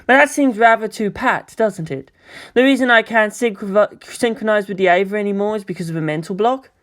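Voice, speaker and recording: A woman's voice: medium pitch, brisk, voice pushed up, lightly acted. A woman voicing a thoughtful, world-weary teenage boy in a storybook reading. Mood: guarded, self-deprecating.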